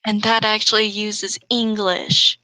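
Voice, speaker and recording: A woman's voice: mid-range voice, little pitch movement, slow, bright, forceful tone, no echo, rough recording. A woman co-hosting a comedic dramatic reading of fan fiction, adding sarcastic asides.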